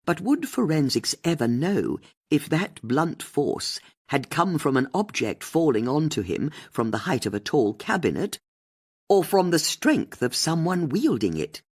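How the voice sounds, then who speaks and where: A woman's voice: low-pitched, very animated, unhurried, slightly rough. A woman reading a mystery novel as an audiobook narrator, in scripted storytelling style.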